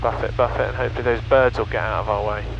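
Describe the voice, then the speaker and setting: A man flying a small plane, chatting with a passenger and explaining each step in a relaxed way over a headset intercom. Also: on the low side, very fast, vocal fry, muffled recording, background noise. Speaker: pilot and vlogger. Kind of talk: casual chat and radio calls while flying. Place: small aircraft cockpit in flight.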